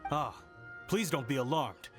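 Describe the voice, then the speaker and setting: An actor playing a courteous, dutiful guard in a video game, speaking formally and reassuringly. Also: male voice, mid-range voice, expressive, measured pace, natural voice. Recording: game audio, music clearly behind.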